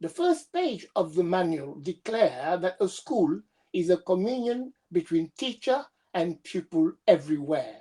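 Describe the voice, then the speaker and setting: A young woman with a cold, presenting her doctoral research over a video call in a steady, measured reading voice with small hesitations. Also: fairly low pitch, husky. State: has a cold. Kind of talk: reading a prepared academic presentation.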